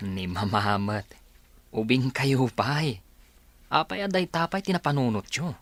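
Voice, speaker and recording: A man's voice: mid-range voice, expressive, measured pace, fairly bright tone, dry recording. A man playing a concerned younger son in a radio drama, earnest, with a Filipino accent. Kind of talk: Ilocano radio drama acting.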